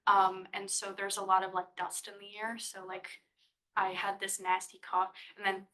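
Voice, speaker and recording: A young woman answers audience questions after her talk, speaking with a bright tone. She sounds casual and chatty and uses lots of fillers. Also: medium pitch, level tone, normal speed. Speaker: science writing student presenting thesis. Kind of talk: live presentation Q&A.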